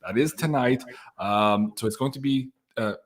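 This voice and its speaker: A man hosting a live online show in an upbeat, excitable, casual way, reading out viewer questions and announcements. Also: mid-range voice, moderate pace.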